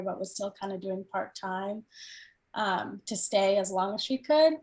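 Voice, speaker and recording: A woman's voice: mid-range voice, low-quality recording. A young woman medical student, originally from India, with a mid-range voice. She talks in a casual, hesitant way, full of "um" and "like," but stays upbeat throughout. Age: young adult. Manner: hesitant, filler-heavy, upbeat. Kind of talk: casual interview chat.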